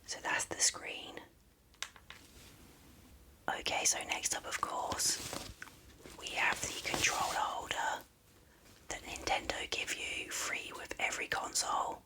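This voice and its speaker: A person whispering an ASMR unboxing as the video's host, in soft, unhurried, rambling commentary.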